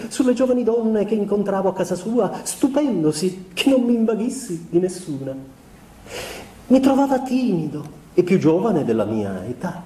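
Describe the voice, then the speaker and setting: Casting: male actor as wistful young musician in a confessional theatre monologue, mellow and expressive, reflective pauses, recorded at a distance in a resonant room. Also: a little high, reverberant. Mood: wistful recollection. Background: piano music.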